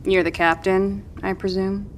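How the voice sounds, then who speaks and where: A woman's voice: mid-range voice, flat delivery, a little hiss or hum, close to an everyday voice. A woman playing a weak, wounded survivor in an audio drama, wary and blunt, speaking in weary sighs. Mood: weary, accusing. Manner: slow, weak, sighing. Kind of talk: scripted audio drama acting.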